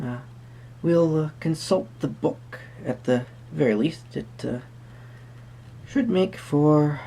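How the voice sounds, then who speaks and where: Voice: mid-range voice, a little mellow, husky. A tarot reader speaking to the listener in a roleplay, musing hesitantly in wordy, formal phrases. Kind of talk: soft-spoken roleplay tarot reading addressed to the viewer.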